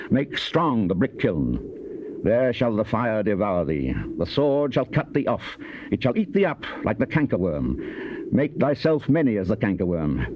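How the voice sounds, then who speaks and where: A man's voice: medium pitch, natural voice. A man acting a stern prophet who proclaims wrathful doom in a solemn, emphatic, declamatory dramatized scripture reading. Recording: dull cassette tape with loud noise.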